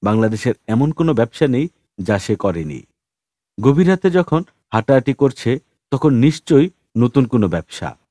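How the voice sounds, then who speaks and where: A man narrating a story as an audiobook reader, in an expressive scripted style. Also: medium pitch, moderate pace, dry recording, studio quality.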